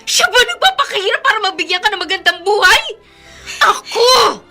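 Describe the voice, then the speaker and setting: Furious, sarcastic Filipino elder sister for radio drama, piercingly high, wildly swinging delivery. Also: female voice, big pitch swings, normal speed, bright, forceful tone, faint music behind, exaggerated voice. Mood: furious, anguished. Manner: accusing, sarcastic, anguished. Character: furious, wounded elder sister who sacrificed for her sibling.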